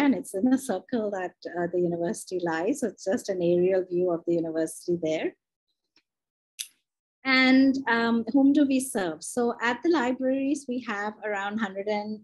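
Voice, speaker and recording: A woman's voice: mid-range voice, darkish tone, rough recording. A young adult woman from India, a university librarian, presenting a webinar over a video call in a conversational way, with many fillers and self-corrections.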